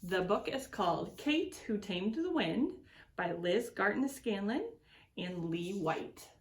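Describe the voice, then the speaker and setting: Slow, very expressive woman reading to children. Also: mid-range voice. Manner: deliberate, expressive storytelling with drawn-out words and swoops. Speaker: extension educator reading aloud to schoolchildren. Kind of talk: picture book read-aloud for children.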